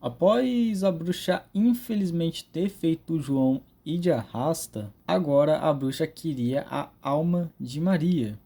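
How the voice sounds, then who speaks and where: A man's voice: on the high side, expressive, a little slow, few pauses, background noise. A man with a Brazilian accent giving a casual narrated recap and commentary as a video creator. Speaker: video creator reviewing horror stories.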